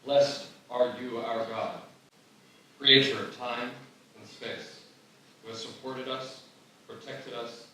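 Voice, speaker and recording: A man reads as a reserved, devout boy of fifteen, soft-spoken but bright in tone. He is deliberate and shy, heard far from the mic in a very echoey hall during a live reading. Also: mid-range voice, steady tone, slight background noise. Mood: prayerful. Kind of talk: live screenplay table read.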